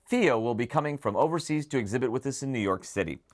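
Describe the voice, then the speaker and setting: A man's voice: mid-range voice, expressive, fast. Man presenting an audio gear preview. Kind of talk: semi-scripted product preview narration to viewers.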